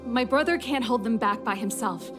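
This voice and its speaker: An actress playing a spirited, protective older sister with firm resolve in a video game cutscene. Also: female voice, a little high, moderate pace, close to an everyday voice. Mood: earnest, determined. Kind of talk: English game voice acting, cutscene dialogue. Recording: loud music behind the voice.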